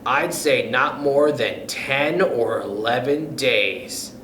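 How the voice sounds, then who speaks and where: A man voicing a gleefully cruel, scheming husband from a children's storybook, taunting with drawn-out emphasis. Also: mid-range voice, fairly bright tone, echoey room, a little hiss or hum. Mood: mock solemn.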